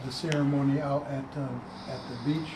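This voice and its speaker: A man recalling an event in a group interview, pausing and restarting as he remembers. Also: mid-range voice, level tone. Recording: echoey room with noise.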